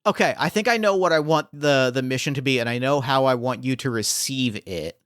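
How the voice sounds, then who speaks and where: Smooth-voiced man running a tabletop game. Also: medium pitch, fairly quick, a bit bright, no echo, clean studio sound. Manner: thinking aloud with many fillers and restarts. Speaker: game master. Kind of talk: improvising a tabletop game scene.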